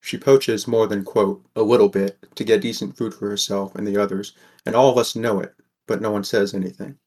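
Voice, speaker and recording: A man's voice: fairly low pitch, flat delivery, a little fast, a little mellow, lightly acted. A man playing a wry, burdened teenage boy who narrates an audio drama in a plain, understated way. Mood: matter-of-fact. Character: sixteen-year-old boy, wry, burdened, caring narrator. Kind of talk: first-person scripted narration, audio fiction.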